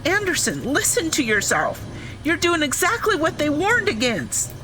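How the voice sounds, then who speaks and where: A woman's voice: sits high, wildly swooping pitch, moderate pace, pushed and bright, a little hiss or hum, exaggerated voice, low-quality recording. A woman playing an alarmed, principled scientist in an audio drama, urgent and emphatic. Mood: pleading. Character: alarmed, principled female scientist, firm and pleading.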